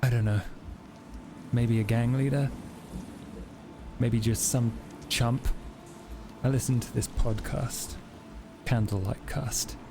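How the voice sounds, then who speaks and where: A man's voice: medium pitch, fairly even intonation, slow, long pauses, over music. A man playing a wounded, suspicious private investigator, terse and demanding, in a tabletop game. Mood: defiant.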